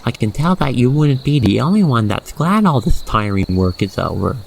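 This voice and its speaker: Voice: medium pitch, sing-song, normal speed, gravelly, a little mellow, rough recording. A narrator guiding the listener through a scripted ASMR roleplay in a soothing, playful storytelling manner. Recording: faint noise with chirping crickets. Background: night insect ambience.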